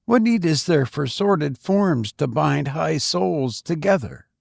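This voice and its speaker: A man's voice: a little high, expressive, unhurried, vocal fry, no room sound, studio quality, voice pushed up, exaggerated voice. A man voicing an ardent young male student in a storybook reading, fervent and dramatic in manner. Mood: ardent, pleading. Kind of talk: storybook character dialogue.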